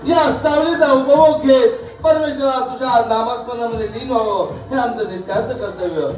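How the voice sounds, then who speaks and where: A pious holy sage intones in a very high, sing-song voice. The delivery is grand, solemn folk theatre. It sounds distant and echoey, with music behind. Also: male voice, normal speed, a little mellow. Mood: reverent. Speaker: stage performer in a devotional mythological puppet play. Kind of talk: declamatory traditional folk theatre. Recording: echoey stage hall, far mic, music behind.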